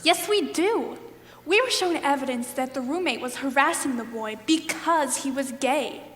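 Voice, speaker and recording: A woman playing a wounded, quietly defiant juror in an amateur stage play. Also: sits high, normal speed. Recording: distant, echoey hall.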